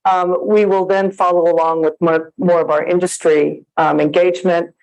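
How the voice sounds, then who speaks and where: A woman's voice: on the low side, flat delivery, moderate pace, darkish tone, highs cut off. A woman from the United States, an associate dean, giving a friendly conference presentation with many fillers. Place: conference hall.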